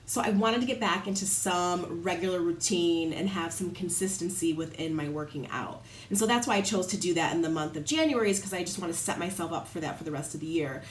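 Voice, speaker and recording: A woman's voice: lowish voice, reverberant, slight background noise. A woman in her thirties with a Midwestern accent, talking casually to her camera at home while congested from a cold, a bit nasal. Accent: Midwestern American. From Midwestern United States. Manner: chatty, rambling with fillers. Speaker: lifestyle vlogger. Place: home room by a window. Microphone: camera on a small tripod.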